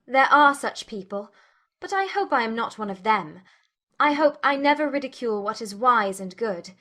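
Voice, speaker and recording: A woman playing a witty, spirited young Regency gentlewoman in a dramatized audiobook, teasing and articulate in formal speech. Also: on the high side, lively intonation, normal speed. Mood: amused, arch. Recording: music bed under the voice.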